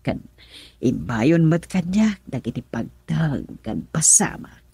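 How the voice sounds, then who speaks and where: A woman's voice: sits low, very animated, slow pace, warm tone, slightly rough, dry recording, exaggerated voice. An actress playing a scheming, gloating woman in an Ilocano radio drama, with an Ilocano accent. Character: scheming gloating woman plotting over a romance. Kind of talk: Filipino radio drama acting.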